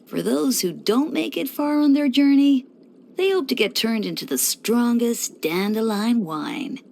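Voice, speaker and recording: A woman's voice: fairly high pitch, expressive, a little slow, gravelly, a little mellow. A woman voicing a playful young male church deacon in a video game, breezy and teasing with theatrical mock solemnity. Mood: lofty, reverent. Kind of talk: scripted video game character voice lines.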